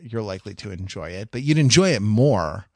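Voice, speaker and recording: A man's voice: fairly low pitch, expressive, a little fast, darkish tone, no room sound, clean studio sound. A man co-hosting a casual podcast, explaining things in a musing, hesitant way. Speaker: podcast co-host.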